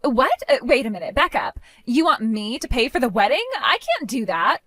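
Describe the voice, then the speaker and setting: Woman doing a sneering, giggly future sister-in-law for an audio drama; bright, bouncy, dry close sound. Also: fairly high pitch, sing-song, moderate pace, dry recording, faint music behind. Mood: defensive. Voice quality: giggly, taunting. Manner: sing-song, dismissive, turns pleading.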